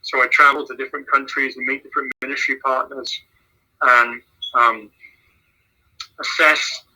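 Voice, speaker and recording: A man with an English accent, a missions pastor, answering interview questions in a measured way and often repeating words as he thinks. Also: medium pitch, level tone, bright, forceful tone, poor audio. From England. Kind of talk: casual phone interview answers.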